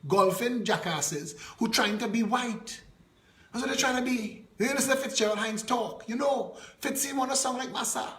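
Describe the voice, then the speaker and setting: Fiery high-voiced Trinidadian man. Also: moderate pace, fairly bright tone, roomy sound, slight background noise. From Trinidad and Tobago. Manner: fiery, scornful, rhetorical questions. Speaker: political party leader. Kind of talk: impassioned political address.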